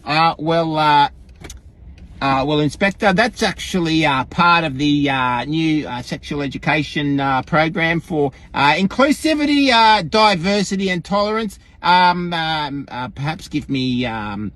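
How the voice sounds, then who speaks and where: A man's voice: a little high, lively intonation, a little slow, fairly bright tone. An Australian man voicing an officious, pompous police commander with bureaucratic, hesitant phrasing in a satirical skit. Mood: evasive, bureaucratic.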